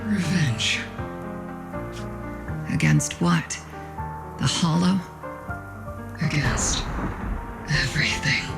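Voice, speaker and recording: A woman playing a bitter, despairing figure who has lost everything, in brooding, dramatic video game acting with a creaky voice. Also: low voice, lively intonation, full character voice. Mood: bitter, anguished. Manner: slow, brooding, weighty. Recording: loud music under the voice.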